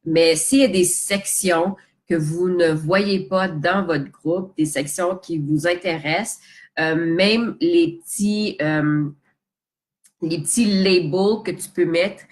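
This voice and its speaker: A woman's voice: lowish voice, slow pace. A young woman with a Québécois French accent who has a cold, chatting casually on a live stream as a social media coach, with lots of fillers, restarts and English words mixed in.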